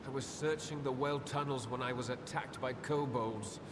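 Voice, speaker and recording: A man acting a fervent, obsessed young acolyte in a video game, speaking with dreamy, halting reverence. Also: fairly even intonation, lightly acted. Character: obsessed young acolyte, crystal-mad killer, fervent and haunted. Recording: long cavernous reverb, loud rumbling noise.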